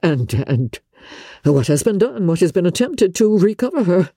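A woman voicing a compassionate, formal gentleman in a classic novel reading, grave and restrained, with halting phrases. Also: fairly low pitch, very animated, husky, no room sound, studio quality, pitched above the performer's own voice, heavily acted. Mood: shocked concern.

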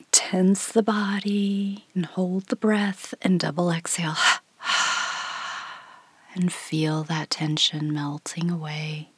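Slow, breathy woman guiding meditation. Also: fairly low pitch, little pitch movement, dry recording. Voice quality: soft, breathy, often near whisper. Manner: unhurried, gentle, with long pauses. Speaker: meditation guide. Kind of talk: guided meditation, slow calm instruction.

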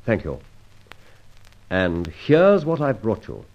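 A suave gentleman bandit of old radio drama, heard through a dull, lo-fi recording. His low voice swings freely as he gives courtly orders and assurances, tossing in bits of slang. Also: huge pitch swings, slow, background noise, heavily acted. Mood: suave, assured. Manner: genteel, courtly, commanding, slangy. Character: suave male gentleman thief, masked, chivalrous adventurer.

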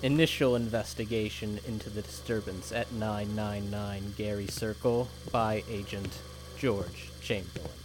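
A man's voice: lowish voice, measured pace, noisy background, natural voice. A man playing a cold, clipped government agent. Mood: dictating. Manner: flat, clipped official dictation. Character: cold, bureaucratic male investigator agent. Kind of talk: audio drama acting.

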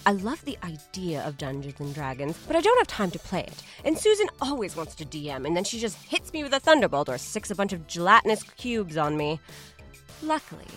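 A woman performing a comic scripted promo for a podcast, playful and mock-frazzled with sharp comic timing. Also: fairly low pitch.

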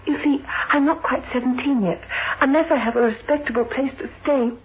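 An actress playing an orphaned sixteen-year-old girl in an old radio drama, earnest and halting, with a needy yet proud manner. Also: high voice, lightly acted. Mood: pleading, tearful. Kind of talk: 1960s radio drama acting. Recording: tinny, noisy old radio recording.

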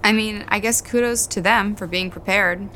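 A woman's voice: mid-range voice, steady tone, normal speed, sharp tone, a little hiss or hum, natural voice. An actress playing a guarded, reserved woman in a naturalistic audio drama, giving understated, noncommittal answers. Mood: reserved, hesitant.

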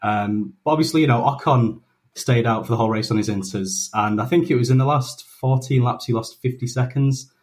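A man's voice: medium pitch, a little fast, a little mellow. Hesitant man giving opinions. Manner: thoughtful, hesitant, restarts often. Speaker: podcast guest. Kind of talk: casual chat.

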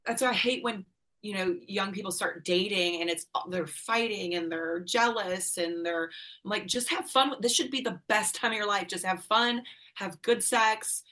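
A woman's voice: mid-range voice, normal speed, bright, forceful tone. A middle-aged woman from northern Illinois, talking candidly and casually as a podcast guest, laughing often and swearing freely. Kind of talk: casual conversation.

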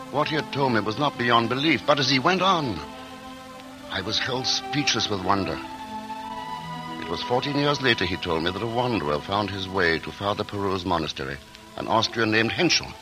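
Low-voiced narrator of an old radio drama. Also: male voice, animated, normal speed. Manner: earnest, polished storytelling. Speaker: lead actor and narrator. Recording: old radio broadcast with music.

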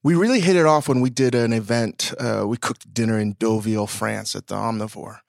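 A man's voice: fairly low pitch, a little fast, gravelly, no echo, clean studio sound. A man in his forties hosting a podcast, ranting in a profane, worked-up way and then chatting casually with a guest. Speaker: podcast host and chef.

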